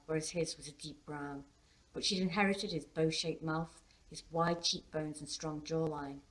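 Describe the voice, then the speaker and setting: A woman author reading her own novel aloud as expressive story narration. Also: deep voice, poor audio.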